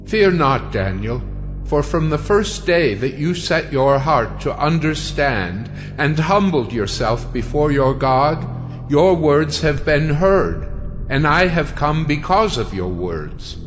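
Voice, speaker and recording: A man playing a commanding yet reassuring heavenly messenger, solemn and deliberate, in an audio Bible drama. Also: fairly high pitch, animated. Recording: long hall reverb, faint music.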